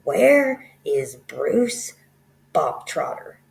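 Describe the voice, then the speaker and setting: A woman voicing a tyrannical headmistress who barks insults and shouts at a pupil in a storybook reading. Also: sits low, sing-song, drawn-out words, gravelly, heavily acted. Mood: furious. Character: bellowing tyrannical female headmistress, bullying.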